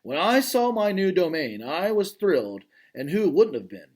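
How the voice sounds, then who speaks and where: A man reading a children's novel aloud as its gentle, thoughtful male gorilla narrator, in a calm, reflective way. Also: sits high, normal speed, voice pushed up. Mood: wistful.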